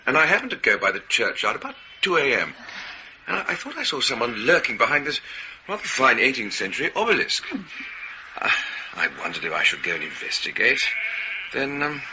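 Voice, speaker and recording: An actor playing a genteel ex-military gentleman, hesitant and mannered, giving an interview in a radio drama. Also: mid-range voice, dull audio, noisy background.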